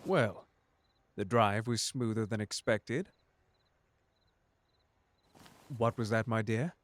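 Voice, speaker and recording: A man's voice: on the low side, unhurried, close to an everyday voice. A man playing a suave, unflappable butler in an ASMR audio drama, speaking formally with dry courtesy and a protective streak. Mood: polite, composed. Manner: formal, polite, dryly sardonic. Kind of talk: ASMR audio drama roleplay addressed to the listener.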